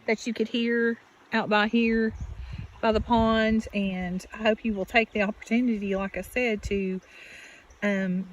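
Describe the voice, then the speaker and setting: A woman teacher reading a picture book aloud to children, deliberate and expressive, with questions and asides to her listeners. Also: a little high, highs cut off. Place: outdoors beside a natural pond. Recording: steady outdoor background noise. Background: birds and outdoor ambience.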